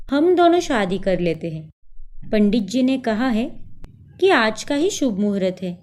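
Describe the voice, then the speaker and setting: A woman's voice: fairly high pitch, a little mellow. A woman voicing a pushy, coquettish and possessive scheming rival in a melodramatic cartoon story.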